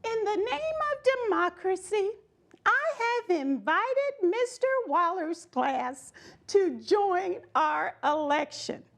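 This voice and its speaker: Woman as a high-voiced, encouraging schoolteacher. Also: gravelly, heavily acted. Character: encouraging female elementary school teacher.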